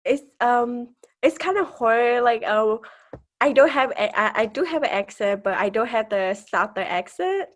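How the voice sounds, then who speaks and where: A woman in her twenties, raised in a refugee camp in Thailand, speaking with a Karenni accent as a podcast guest. She talks hesitantly, restarting her sentences and laughing softly between points. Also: a little high, expressive, unhurried. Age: 20s. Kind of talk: conversational interview.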